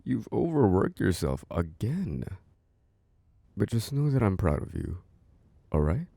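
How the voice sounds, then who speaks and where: Man acting as tender boyfriend, very low gravelly voice, unhurried, coaxing, reassuring, intimate sleep-aid ASMR. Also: big pitch swings, warm tone, no echo, full character voice. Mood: gently pleading. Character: doting, protective boyfriend soothing an overworked partner to sleep.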